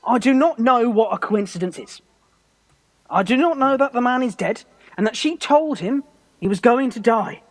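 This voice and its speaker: A woman's voice: a little high, lively intonation, moderate pace. Woman playing earnest superstitious army captain. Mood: awed. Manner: earnest, plain-spoken. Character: superstitious, God-fearing army captain, earnest, swearing soldier. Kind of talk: radio play acting. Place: actor's home, recorded remotely.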